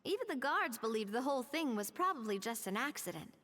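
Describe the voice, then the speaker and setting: A woman voicing a friendly, composed young woman who explains things in a measured way in a video game. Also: on the high side, big pitch swings, a bit bright, long reverb tail. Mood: explanatory. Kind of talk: anime-style video game voice acting.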